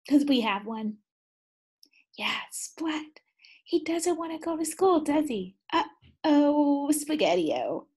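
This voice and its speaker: Husky-voiced, animated woman teacher. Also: on the high side. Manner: animated, asks the class many questions. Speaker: second grade teacher. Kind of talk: read-aloud lesson for children.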